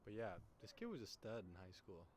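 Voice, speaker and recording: A man's voice: lowish voice, sing-song, fairly quick. A young man from Canada doing chatty, slangy play-by-play commentary of a baseball game in an informal, jokey way. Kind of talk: casual, chatty live sports commentary. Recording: noisy live ballgame broadcast.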